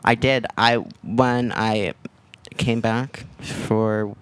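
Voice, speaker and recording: Deadpan young man from Oklahoma. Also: on the low side, extremely slow, smooth, no room sound. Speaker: podcast guest. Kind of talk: casual chat.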